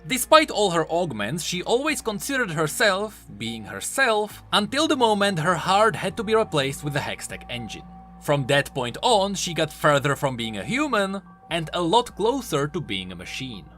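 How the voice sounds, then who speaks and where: A man narrates game lore commentary as a video host. His voice is bright and fairly high, with extremely animated, emphatic swings in pitch. Music runs underneath. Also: moderate pace. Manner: emphatic, swooping delivery. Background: background music.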